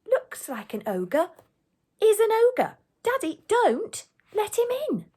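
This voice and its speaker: A woman's voice: wildly swooping pitch, measured pace, full character voice. A woman voicing an alarmed, insistent storybook girl who cries out emphatically to her father. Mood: insistent warning. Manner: high, yelling, emphatic. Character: insistent little girl, gatekeeper's alarmed daughter.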